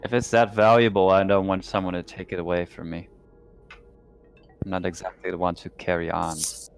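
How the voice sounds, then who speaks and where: A man's voice: low voice, little pitch movement, normal speed, gravelly. A man playing a tabletop roleplaying game, speaking in character. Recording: music playing behind the voice. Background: background music.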